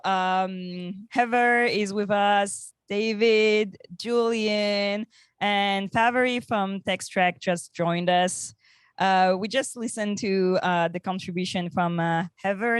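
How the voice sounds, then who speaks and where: A woman hosts a relaxed podcast panel at a very unhurried pace. Her phrasing is halting and hesitant, and the sound is close and dry, with hardly any room to it. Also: medium pitch, clean tone, no room sound. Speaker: podcast host. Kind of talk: casual panel conversation.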